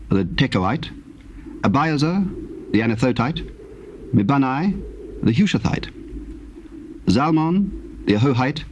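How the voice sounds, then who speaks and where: A man narrating scripture from the King James Bible in a formal, measured storytelling manner. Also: mid-range voice. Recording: old cassette tape, faint background noise.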